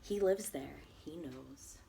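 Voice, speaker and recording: A woman's voice: low-pitched, takes it slowly, gravelly, mellow, a little hiss or hum. A woman teacher reading a rhyming children's storybook aloud with plenty of expression.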